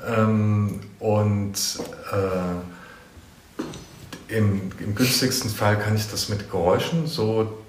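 A man's voice: on the low side, very slow, slight background noise. A man interviewing in a casual, conversational way, hesitantly thinking aloud as he talks. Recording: roomy, echoing room sound.

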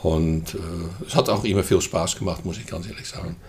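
Older man, Dutch accent, very low voice, hesitant with fillers, faint background hiss. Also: a little fast, a little mellow. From Netherlands. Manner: hesitant, many fillers and throat clearing. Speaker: guest, festival co-manager. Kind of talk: casual interview chat.

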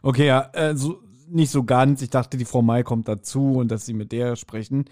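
A man co-hosting a podcast in casual chat, telling things like an animated storyteller. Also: mid-range voice, moderate pace. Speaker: podcast co-host. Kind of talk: casual chat, speculating about an audio drama episode.

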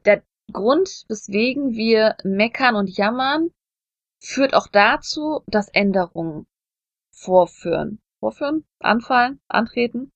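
A woman's voice: a little high, expressive, slow pace, no echo, dull audio. A woman in casual podcast conversation, chatty and self-deprecating, telling personal anecdotes. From Germany. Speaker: podcast co-host.

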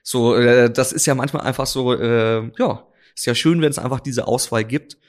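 A man's voice: mid-range voice, steady tone, moderate pace. A man, a drinks expert and interview guest, talking casually and rambling with many fillers and restarts. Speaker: drinks brand ambassador, guest.

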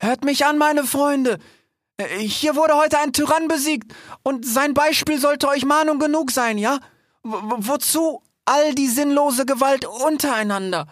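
A man's voice: very high voice, a little slow, fairly bright tone, no echo, studio quality, voice pushed up. A man voicing a small, earnest, hesitant animal in a storybook, stammering and ending sentences with questioning tags. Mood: pleading, earnest.

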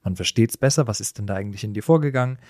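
A man's voice: fairly low pitch, rapid-fire, darkish tone, no echo, studio quality. A man co-hosting a casual podcast, talking in a playful and explanatory way. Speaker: podcast co-host.

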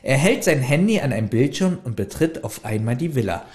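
A man's voice: mid-range voice, sing-song, a little fast, a little mellow. A man co-hosting a casual podcast, reading a plot summary aloud and breaking off for chatty side comments. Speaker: podcast co-host.

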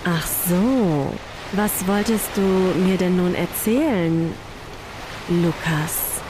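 A woman's voice: on the low side, background noise. A woman playing a self-assured, flirtatious woman in a science-fiction radio play, teasing and wry. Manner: wry, unhurried. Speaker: voice actor in an audio drama.

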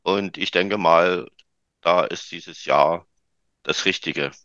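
Lower-voiced man with a bright, dull-toned recording. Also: slow pace, no echo. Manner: chatty, tells anecdotes. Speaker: podcast host. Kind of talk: informative podcast talk. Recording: dull lo-fi sound.

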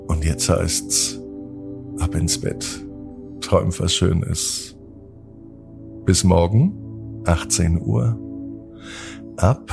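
A man telling a children's bedtime story as host, gently addressing his young listeners directly. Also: low voice, creaky.

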